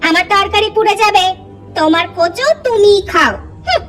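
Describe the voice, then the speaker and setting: A woman voicing a sharp-tongued young village wife who scolds and mocks her husband. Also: extremely high pitch, moderate pace. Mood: scolding. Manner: scolding, sarcastic. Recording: cartoon music under the voice.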